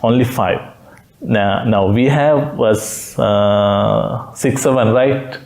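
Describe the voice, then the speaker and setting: A Buddhist monk from Sri Lanka giving a Dhamma talk, speaking in simple phrases and pausing often with "uh" between words. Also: male voice, fairly low pitch, vocal fry, a little mellow. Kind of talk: slow, halting Dhamma talk with many fillers. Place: meditation center room.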